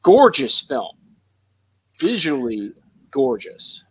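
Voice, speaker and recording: Guy on a film panel, mid pitched voice, very dry room sound, just chatting. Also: big pitch swings, takes it slowly, husky, dry recording. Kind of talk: casual chat about films.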